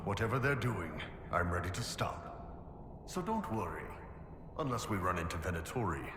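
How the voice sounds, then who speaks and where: Deep, gruff horned warrior. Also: male voice, big pitch swings, normal speed, background noise. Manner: gruff, casual, blunt. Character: big horned male warrior mercenary, gruff, blunt. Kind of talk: video game voice acting. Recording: echoey hall reverb under loud music.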